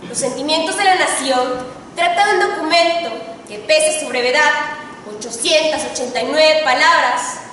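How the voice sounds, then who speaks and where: A young woman student with a Mexican accent giving a formal, solemn oratory speech with emphatic delivery and dramatic pauses. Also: high voice, a bit bright. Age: teens to young adult. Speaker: student contestant in an oratory contest. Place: large reverberant indoor hall. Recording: echoey hall, distant mic, faint noise.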